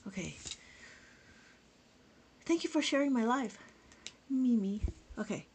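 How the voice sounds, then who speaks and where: A woman's voice: on the high side, big pitch swings, slow, darkish tone, breathy edge. Chatty woman teaching a live math lesson. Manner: chatty, greets viewers by name, counts aloud. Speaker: abacus teacher.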